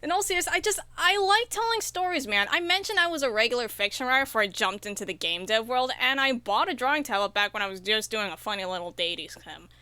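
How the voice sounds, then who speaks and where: A woman's voice: on the high side, animated, fast talker, hardly any pauses, pushed and bright, clean tone, no echo. A woman guesting on a podcast as an indie game developer, chatting casually in a slangy, emphatic way. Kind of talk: casual interview chat.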